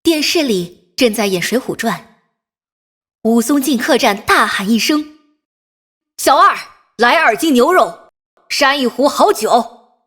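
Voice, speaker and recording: A woman performing an animated comic audiobook anecdote with bold quoted lines, with reverb added to the voice. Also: on the high side, a little slow, long pauses, slightly rough, full character voice. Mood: lively, bold. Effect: added room reverb. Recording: demo clip with added reverb effect.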